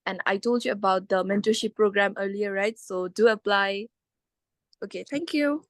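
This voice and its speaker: A woman's voice: medium pitch, normal speed, no room sound. A woman in her twenties moderating an informal online webinar. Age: 20s. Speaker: session moderator and consultant. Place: online video call.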